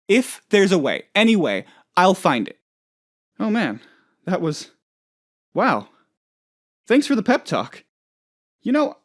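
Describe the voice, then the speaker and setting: A man playing an earnest, self-doubting but loyal boss in a video game, talking in a halting, heartfelt, rambling way. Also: on the high side. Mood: defiant. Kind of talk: expressive game dialogue, conversational monologue.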